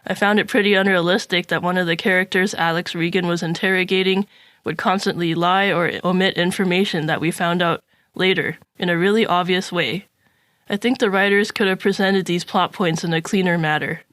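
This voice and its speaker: A woman's voice: on the low side, a bit bright, no room sound, clean studio sound. A woman co-hosting a podcast, reading out a prepared review in a scripted style.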